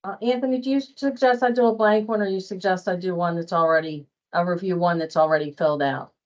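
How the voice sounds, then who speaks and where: A woman's voice: on the low side, fairly even intonation, fast, lo-fi sound. A woman leading an informal workplace training walkthrough in a hesitant manner, often checking her points with a colleague. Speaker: training presenter.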